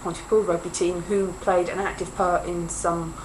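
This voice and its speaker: A woman's voice: fairly low pitch, flat delivery, moderate pace, background noise. Rambling self-mocking woman vlogger. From Wales. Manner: self-mocking, wandering, jokey asides. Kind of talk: rambling casual monologue.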